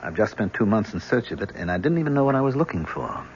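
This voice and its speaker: A dull, slightly noisy old radio recording of an embittered young man. His low voice has cool menace and sardonic courtesy, in old-time radio drama style. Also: big pitch swings, fast. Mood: bitter, accusing. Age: young adult.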